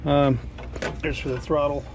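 A man's voice: a little high, little pitch movement, a little slow, creaky, background noise. A middle-aged man casually walking through a hands-on project, rambling and thinking aloud as he works. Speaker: hobbyist builder showing his project. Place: small cockpit rig inside a cluttered workshop.

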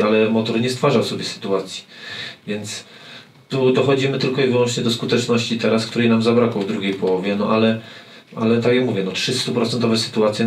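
A football coach in his forties answers reporters in a halting way, stumbling over and repeating his words. His voice sits a little low. It comes from a table mic a short way off, in an echoey press room with faint noise. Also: recorded from a distance. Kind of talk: post-match press conference. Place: press room with sponsor wall. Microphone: table mic on stand.

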